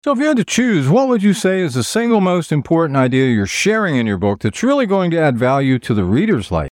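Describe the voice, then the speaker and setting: A man's voice: on the high side, very animated, fast, no room sound, studio quality. A man hosting an author interview show, reading prepared introductions and questions in a polished presenter's manner. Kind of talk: scripted radio-style interviewing.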